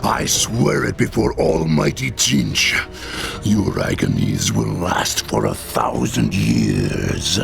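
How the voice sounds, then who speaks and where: Vengeful game demon, very gravelly, low-pitched, menacing, music behind. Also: male voice, lively intonation, full character voice. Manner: slow, menacing, threatening. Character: vengeful male demon servant of a chaos god. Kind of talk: video game villain acting.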